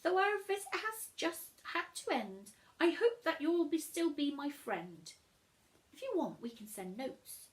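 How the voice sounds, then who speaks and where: A friendly pirate boy from a picture book, as a woman reader puts him on. The voice is high and lilting, earnest and confiding, with slow, measured rhyming lines. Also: very animated. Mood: wistful, friendly.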